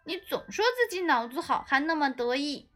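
A woman voicing a small, indignant young apprentice chef with pouty, fast exclamations in a storybook. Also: high-pitched, animated, a bit bright, soft background music. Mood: angry.

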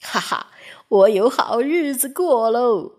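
A woman's voice: a little high, lively intonation, slow pace, a little mellow. A woman voicing a greedy, gullible male steward in a fairy tale, musing smugly. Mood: smug, gleeful. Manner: smug musing.

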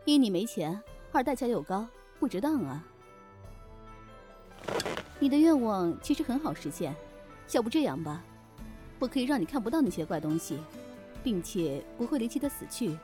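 A woman's voice: fairly high pitch, very animated, moderate pace, long pauses, over music. A woman playing a shrewd, breezy keeper of a demon inn, teasing and bossy in an offhand way, in an audio drama. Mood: breezy, businesslike.

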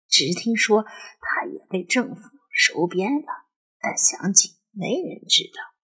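A woman voicing an elderly grandmother in an audio drama, wistful and superstitious, reminiscing with drawn-out sighs in a husky voice. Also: fairly high pitch, bright, forceful tone, full character voice, poor audio. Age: older. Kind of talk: Chinese audio drama dialogue.

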